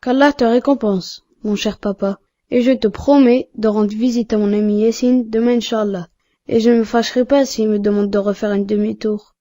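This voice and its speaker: A woman acts a young cartoon boy with a fairly high, rounded, unsharp voice. He is polite and pious, sincere in everything he says and easily touched. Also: steady tone, moderate pace, warm tone, lightly acted. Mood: contrite. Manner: earnest, courteous. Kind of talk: didactic cartoon dialogue.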